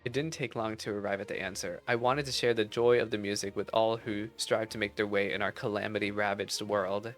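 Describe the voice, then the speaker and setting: A man's voice: on the low side, steady tone, brisk, few pauses, a bit bright, soft background music, natural voice. A man reading aloud the game lines of an earnest, slightly haughty young female musician and rival. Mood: earnest, wistful.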